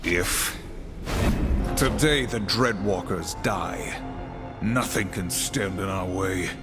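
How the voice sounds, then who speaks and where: Humorless battle rider, lowish pitch, blunt, proud, commanding, game cutscene acting, music behind. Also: male voice, slow pace. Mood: proud, menacing.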